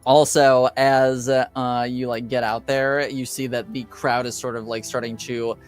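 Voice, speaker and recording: A man improvising narration as game master in a tabletop roleplaying game, halting and laid-back. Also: mid-range voice, level tone, clean tone, soft background music.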